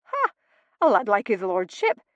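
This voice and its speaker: Woman reading a Scottish stable master, older, gruff, folksy, loud, dry close sound. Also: medium pitch, wildly swooping pitch, a little slow, dry recording, dull audio. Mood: gruff, fond. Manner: blunt, folksy, dialect-heavy speech.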